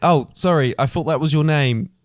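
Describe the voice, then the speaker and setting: A man's voice: a little high, moderate pace, no room sound, studio quality, natural voice. Bumbling, flippant pantomime Scrooge, played by a man. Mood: bumbling, eager. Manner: hesitant, improvising, flippant. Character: bumbling, clueless, cheerful comic Scrooge. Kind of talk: ad-libbed comic pantomime.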